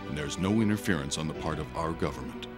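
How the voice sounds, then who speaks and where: Loud music sits behind a deep male voice. He is a solemn anime alien who explains things in measured, teacherly sentences. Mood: solemn, explaining. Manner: measured, instructive. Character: solemn grown male alien, once genderless. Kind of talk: English anime dub acting.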